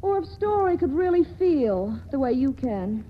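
Restless teasing young woman, high, lively voice on a muffled old broadcast. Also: moderate pace. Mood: cool. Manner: cool, teasing, lightly dismissive. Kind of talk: 1940s radio acting. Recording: muffled old radio broadcast with faint hiss.

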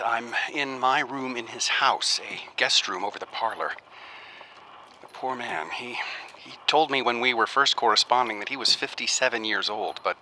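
An actor playing an earnest, uneasy researcher in a radio drama, speaking in a halting, musing way as if keeping an audio diary. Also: male voice, medium pitch, pushed and bright, low-quality recording. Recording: faint noise behind the voice.

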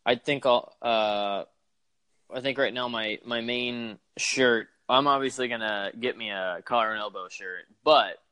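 A man's voice: medium pitch, a little slow, pushed and bright, clean tone, no room sound. A young man co-hosting a wrestling podcast, laid-back and full of fillers, laughing easily. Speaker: podcast co-host. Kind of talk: casual chat.

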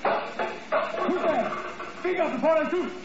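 A man's voice: extremely high pitch, takes it slowly. An actor playing a menacing, jumpy henchman on armed guard in a 1950s radio drama. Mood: alarmed, threatening. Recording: muffled, noisy old radio recording.

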